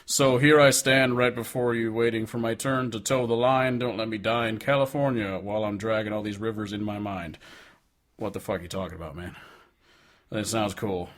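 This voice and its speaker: A man's voice: lowish voice, fairly even intonation, normal speed. A man co-hosting a music podcast, talking casually in a frank, sweary, rambling manner. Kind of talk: casual chat about music.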